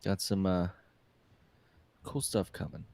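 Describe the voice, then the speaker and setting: A man's voice: sits low, fairly even intonation, takes it slowly, darkish tone, dry recording. A man co-hosting a casual podcast, a little congested, chatting and joking easily. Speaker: podcast co-host. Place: hot room with a fan.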